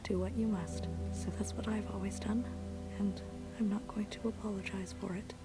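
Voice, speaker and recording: A woman's voice: mid-range voice, level tone, normal speed, close to an everyday voice. A woman playing a resolute, embittered young sister in a science-fiction video game, firm and intense. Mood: defiant. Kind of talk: dramatic video game acting. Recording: loud game music over the voice.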